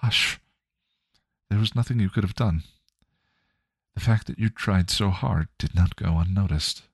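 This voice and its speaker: A man voicing a gentle, kind female spirit, a mother who speaks soothingly. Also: sits low, unhurried, gravelly, breathy edge, no room sound, clean studio sound, exaggerated voice.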